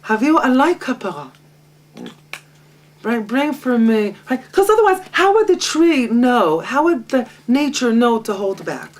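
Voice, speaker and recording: A woman, a Hebrew speaker, teaching a Torah lesson with a lively, self-mocking manner and frequent laughter. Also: fairly high pitch, unhurried. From Israel. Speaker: guest teacher. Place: home library. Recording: distant mic.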